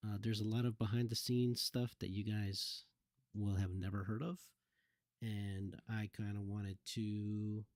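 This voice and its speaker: Low-voiced man giving casual game commentary. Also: darkish tone, no room sound, studio quality. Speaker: streamer, former game cutscene assistant giving commentary. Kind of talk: casual livestream behind-the-scenes commentary.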